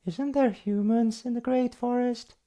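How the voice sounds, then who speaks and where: A woman's voice: fairly high pitch, fairly even intonation, a little slow, mellow, close to an everyday voice. A woman voicing a small, timid, curious young troll boy in a bedtime story, asking worried questions hesitantly. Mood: curious, anxious.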